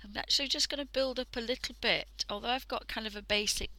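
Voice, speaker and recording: A woman with a croaky voice from a cold, chatting casually through a drawing tutorial on a headset mic and often dropping into half-whispers. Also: on the high side, fast, bright, forceful tone, rough recording. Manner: chatty, thinking aloud, drifting into half-whispers. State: hoarse from a cold. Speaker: art livestream host.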